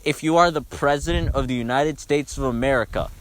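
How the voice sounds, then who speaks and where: A man's voice: medium pitch, brisk, slight background noise, low-quality recording. A young man talking casually and bluntly about songs as a video host, swearing freely.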